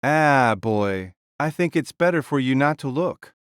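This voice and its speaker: A man's voice: mid-range voice, expressive, unhurried, smooth, dry recording, clean studio sound, lightly acted. A man playing a big, frank, protective warrior king who sighs and admonishes in an audio drama. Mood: weary, frank.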